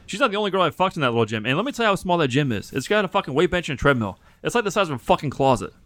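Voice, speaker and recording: A man's voice: fairly high pitch, sing-song, very fast, a bit bright, no room sound. A man co-hosting a podcast, chatting casually and crudely, telling blunt, profanity-laced stories. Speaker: podcast co-host.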